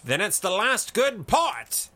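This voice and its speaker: A man's voice: a little high, wildly swooping pitch, unhurried, creaky, sharp tone, breathy edge, exaggerated voice. A man voicing a fiery, hearty explorer colonel from a fantasy story, boisterous and indignant. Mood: boisterous defiance.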